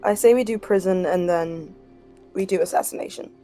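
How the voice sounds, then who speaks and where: A woman's voice: lowish voice, moderate pace, dark tone, soft background music. A woman playing a tabletop role-playing game, casually talking through what her character does. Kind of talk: casual chat.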